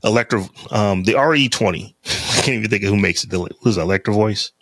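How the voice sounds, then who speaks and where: A man with a deep, lowish voice chats casually on his podcast, rambling and circling back with fillers as he goes. He talks close to the mic, and the sound is dry and free of room echo. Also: quick pace, a bit bright. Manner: rambling, loose talk with many fillers and restarts. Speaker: podcast co-host. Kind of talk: casual chat. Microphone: close studio mic on a podcast interface.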